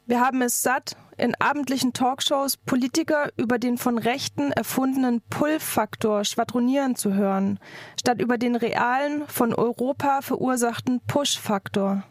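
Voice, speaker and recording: A woman's voice: on the high side, moderate pace, no room sound. A woman activist delivering a scripted political speech in an emphatic, declarative manner. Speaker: activist speaker.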